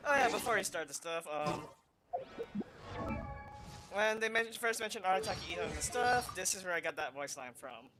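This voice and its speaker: Male gamer, high-pitched voice, casual let's play chat, game music clearly behind. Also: animated, normal speed, pauses often. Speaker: gaming video host.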